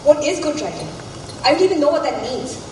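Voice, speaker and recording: Stage play: actress as defiant, hurting college girl, high-pitched, far from the mic in a ringing hall. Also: big pitch swings, a little fast, a little hiss or hum. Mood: confused, hurt. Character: wounded, defiant young female college student. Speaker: stage actor. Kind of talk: student stage drama acting. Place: theatre stage, recorded from afar. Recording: echoey hall, far mic, background music.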